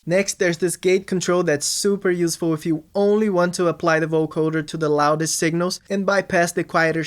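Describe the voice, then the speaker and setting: A man narrating an instructional music production tutorial, explaining things step by step. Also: fairly high pitch.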